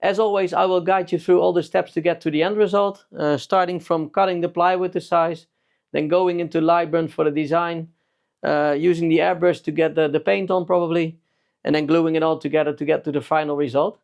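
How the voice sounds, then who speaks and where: A man's voice: on the high side, level tone, fairly quick, creaky, darkish tone, muffled recording. A man with a Dutch accent, a native Dutch speaker, giving a casual tutorial as a DIY video maker. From Netherlands.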